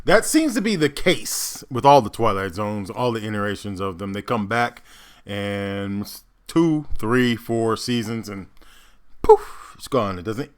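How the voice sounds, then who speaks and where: A man's voice: lowish voice, animated, unhurried, smooth. A man hosting a reaction video, giving casual commentary and thinking aloud in loose asides and interjections.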